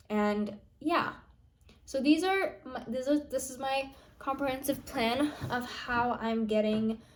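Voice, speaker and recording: Young woman vlogger, fairly high-pitched, rambling and casual with lots of "like" and "um". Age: young adult. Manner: chatty, many fillers and restarts. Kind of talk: casual planning vlog.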